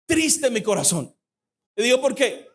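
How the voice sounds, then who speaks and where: Young Dominican man, high-pitched, fervent fast-talking guest preacher making an appeal in church. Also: sing-song. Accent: Dominican Spanish. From Dominican Republic.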